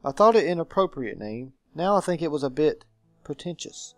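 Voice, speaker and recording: A man's voice: fairly high pitch, lively intonation, normal speed, no room sound, soft background music, lightly acted. Melancholy game guardian, acted by a man, formal and brooding, dry close recording. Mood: regretful. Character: melancholy, haunted gentleman guardian.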